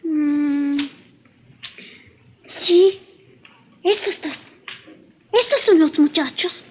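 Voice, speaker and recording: A woman playing a defensive, chatty young witness in a 1950s radio drama, expressive in her delivery. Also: sits high, extremely slow. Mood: defensive, eager. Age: young adult. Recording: dull vintage radio recording.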